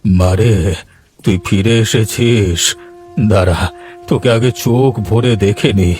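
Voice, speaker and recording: A man voicing a frail, dying old grandfather in a cartoon, speaking weakly and haltingly. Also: on the low side, a little mellow, soft background music. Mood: weak, tender. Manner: weak, slow, halting. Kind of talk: cartoon dialogue acting.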